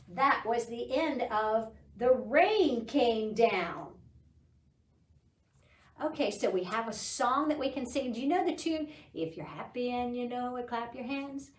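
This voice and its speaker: High-voiced, unhurried woman reading to children. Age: middle-aged. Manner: animated, encouraging, talks to young children. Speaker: library storytime host. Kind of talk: children's storytime read-aloud. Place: small indoor library room. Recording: roomy echo, distant microphone.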